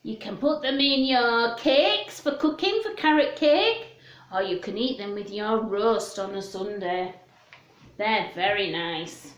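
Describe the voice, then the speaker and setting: A woman presenting a children's storytime, chatting in a gentle sing-song way and putting questions to young listeners. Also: mid-range voice, animated, takes it slowly. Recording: roomy, echoing room sound.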